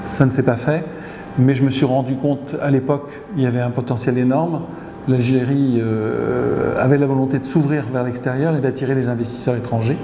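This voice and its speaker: A middle-aged man speaks at an ordinary mid pitch, answering interview questions as a company chief. He pauses and hesitates often as he works through his sentences. His voice rings out with a long tail of reverb in a big echoey room, with steady background noise behind him. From Tunisia. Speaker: company CEO.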